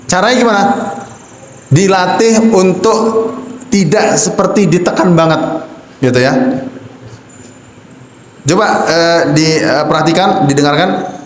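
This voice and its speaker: A man's voice: high voice, unhurried, pauses often. Echoey Javanese man teaching in a mosque. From Java, Indonesia. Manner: explains patiently with rhetorical questions, coughs now and then. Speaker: Quran recitation teacher. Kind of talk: teaching imams and preachers, with sung Quran recitation examples. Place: mosque hall. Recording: echoey mosque hall, faint background hum.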